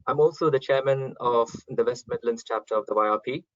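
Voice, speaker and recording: A man hosting an online event, polite and organised, pausing often with small hesitations. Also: medium pitch, no echo. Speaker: event host. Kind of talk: webinar hosting. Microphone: video call. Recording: dull, dry online call audio.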